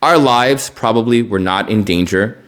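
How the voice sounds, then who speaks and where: A man's voice: fairly low pitch, moderate pace, a bit bright. A man gives an opinionated news report, explaining emphatically and rephrasing his points. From born in Bosnia, partly raised in the United States. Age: 20s. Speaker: news podcast host.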